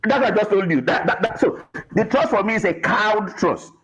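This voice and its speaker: Male pundit, Nigerian, husky and fairly high, emphatic and deliberate, muffled lo-fi sound. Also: fairly bright tone. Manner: emphatic, deliberate, repeats phrases. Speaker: panel guest, political commentator. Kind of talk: political commentary.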